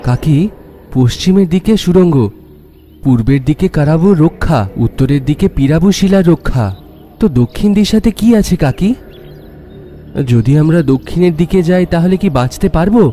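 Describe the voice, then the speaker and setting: A man's voice: a little high, lively intonation, normal speed, warm tone, soft background music, close to an everyday voice. A man playing a wary, inquisitive young villager-in-hiding in cartoon dialogue, earnest and questioning. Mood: anxious curiosity.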